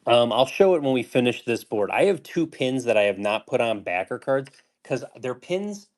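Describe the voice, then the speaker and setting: A man's voice: medium pitch, normal speed. Laid-back rambling man selling pins. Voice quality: calm voice. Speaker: pin seller host. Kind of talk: casual live-sale chat.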